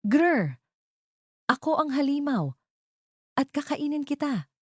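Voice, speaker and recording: A woman's voice: high voice, sing-song, takes it slowly, no echo, clean studio sound, voice pushed up, exaggerated voice. A woman voicing a playful, sweet young housemaid who is easily flustered, in an audio drama story. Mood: playful growling.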